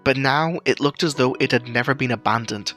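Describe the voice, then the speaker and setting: A man's voice: medium pitch, brisk, fairly bright tone, soft background music. A man reading scripted story narration as the narrator of a fiction tale.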